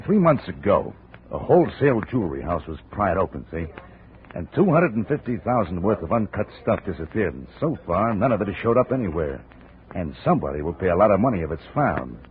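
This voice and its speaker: An actor playing a down-on-his-luck private eye in a 1950s radio drama, chatty and full of street slang. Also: low voice. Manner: chatty street slang, keeps saying "see". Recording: tinny old radio broadcast, faint hiss.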